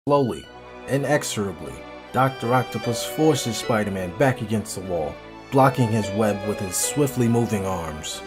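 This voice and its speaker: Narrator, male: low voice, dramatic comic book storytelling over clear background music. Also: expressive, moderate pace. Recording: music behind the voice.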